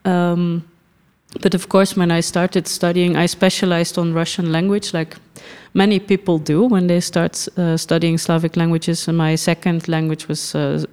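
Smooth-voiced Dutch woman, thoughtful. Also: fairly low pitch, flat delivery, normal speed. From Netherlands. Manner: thoughtful, frequent "um", laughs softly. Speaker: film festival director. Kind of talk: podcast interview.

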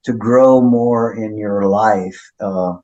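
A middle-aged man, a pastor, answering questions in a reflective, low-key way, often checking in with "right?". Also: fairly even intonation, slow pace, dark tone, muffled recording. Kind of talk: conversational interview.

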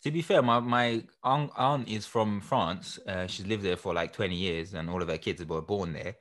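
A young man with a lowish voice tells a story in a casual chat. He talks in a lively, self-deprecating way. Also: moderate pace.

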